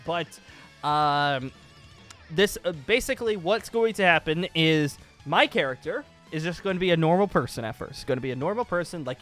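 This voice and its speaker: A man hosting a casual, excitable gaming announcement vlog in a rambling, emphatic, informal way. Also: fairly high pitch. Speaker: YouTube host announcing a new series. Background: music.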